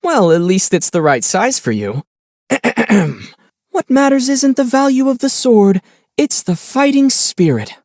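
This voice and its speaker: A man playing a calm young adult traveler hero in a video game, with a playful, teasing and wry way of talking. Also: very high voice, measured pace, gravelly, no echo, clean studio sound, exaggerated voice. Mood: wry, helpful.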